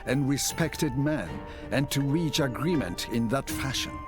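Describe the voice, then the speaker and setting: A man's voice: mid-range voice, measured pace, over music, natural voice. An actor playing a dignified male clan leader in a strategy game, speaking formally and courteously.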